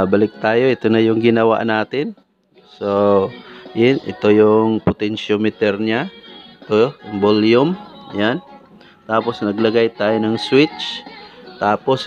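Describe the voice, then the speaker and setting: A Filipino man giving a casual, informal hands-on demonstration as a DIY vlogger, often addressing his viewers. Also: on the low side. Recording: dull lo-fi audio with background music.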